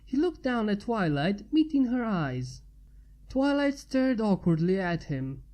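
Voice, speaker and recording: A woman's voice: mid-range voice, sing-song, a little slow, dark tone. A woman reading a scripted story as its narrator. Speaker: fan fiction narrator.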